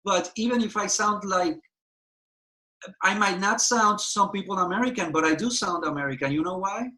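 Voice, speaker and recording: A man with a Puerto Rican Spanish accent talks with passion in an interview and circles back on his own phrases for emphasis. His voice sits high for a man and sounds bright and forward. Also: normal speed. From Puerto Rico. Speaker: guest actor. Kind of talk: earnest interview conversation.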